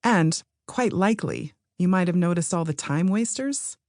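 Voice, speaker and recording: A woman author reading her own nonfiction audiobook in a measured, explanatory way, speaking to the listener. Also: lowish voice, expressive, darkish tone, dry recording, clean studio sound. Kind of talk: scripted nonfiction narration.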